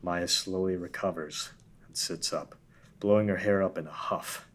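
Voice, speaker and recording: Low-voiced man reading scene directions. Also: fairly even intonation, normal speed. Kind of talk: table read narration.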